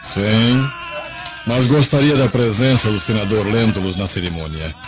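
An actor playing a cruel, commanding Roman emperor, formal and imperious, in a radio drama. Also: male voice, fairly low pitch, moderate pace, background noise, lightly acted. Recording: dull lo-fi sound, music behind.

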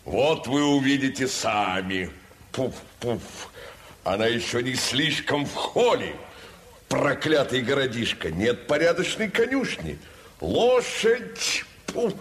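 Casting: self-important stout general for a stage-style radio play, ponderous delivery with lively swings, slight background noise. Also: male voice, mid-range voice, takes it slowly, heavily acted. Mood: self-satisfied. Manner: ponderous, puffing between phrases. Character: stout, self-important general at leisure, puffing his pipe. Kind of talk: theatrical audio drama acting.

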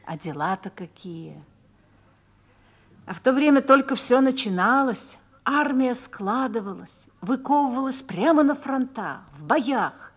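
An actress playing an earnest, motherly army wife in a radio play, with a husky, reflective delivery. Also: a little high, expressive, a little slow, heavily acted. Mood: earnest, reminiscing. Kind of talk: Russian radio drama acting.

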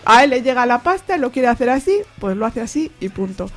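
A woman's voice: a little high, very animated, fast, soft background music. A woman with an accent from Spain reviewing informally on the radio, repeating phrases and acting out quotes as she retells. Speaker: comics reviewer on a radio show.

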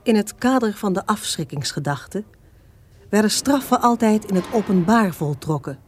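A woman's voice: medium pitch, animated, moderate pace, lightly acted. A woman narrating a historical radio drama in a scripted, expository storytelling style. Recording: faint background noise.

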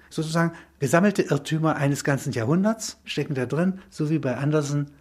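A man with a husky voice speaks as an interview guest in a spontaneous intellectual discussion, in halting, emphatic phrases with little tag questions. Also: medium pitch. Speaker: interview guest explaining a film concept.

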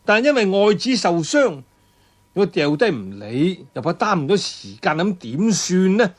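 A man's voice: high-pitched, sing-song, normal speed. A man with a Cantonese accent narrating a martial arts tale in traditional storytelling style, dramatic and emphatic. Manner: dramatic, emphatic delivery with exclamations and sound words.

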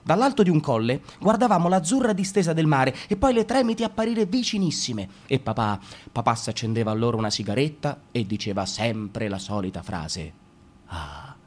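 A man performing a radio theatre reading. He swings from sarcastic loud outbursts to low mutters. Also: big pitch swings, normal speed. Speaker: stage reader.

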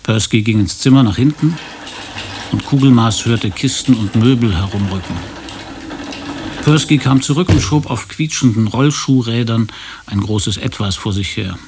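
A man's voice: medium pitch, moderate pace, noisy background. A man narrating a scripted radio drama in a dry, literary storytelling manner. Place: radio studio. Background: music.

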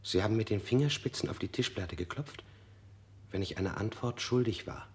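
A man's voice: fairly low pitch, lively intonation, normal speed, slight background noise, lightly acted. An actor playing a frightened man under interrogation in a radio drama, who keeps correcting himself and sometimes drops to a whisper. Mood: confused.

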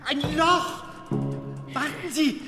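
An actor in a German radio drama playing an eager, playful male film comedian lost in darkness, with a theatrical, expressive delivery. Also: very high voice, takes it slowly. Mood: straining, searching. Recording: long hall reverb, music behind.

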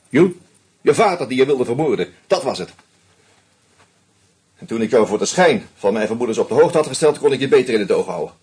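Husky screenwriter detective, dry ironic, lays it all out step by step, radio play. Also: male voice, mid-range voice. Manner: methodical, needling, dry irony. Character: shrewd male screenwriter unmasking a killer.

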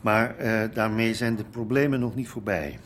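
An actor playing a measured, businesslike lawyer advising a gangster in a Dutch radio drama. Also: male voice, fairly low pitch, a little hiss or hum, close to an everyday voice.

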